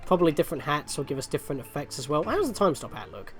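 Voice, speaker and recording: A man gives casual gaming commentary as the host of a video. His pitch moves around in a very animated way while he rambles through chatty asides. Faint music plays behind his voice. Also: very fast. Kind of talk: casual let's play commentary.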